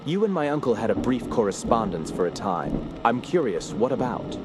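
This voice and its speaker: An actor playing an earnest, troubled scholar in a radio drama, speaking in a formal, measured, literary way. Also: male voice, medium pitch, sing-song, lightly acted. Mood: curious, probing. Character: earnest, troubled male scholar investigating a sinister cult. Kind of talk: radio drama narration and dialogue. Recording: music clearly behind the voice. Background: music.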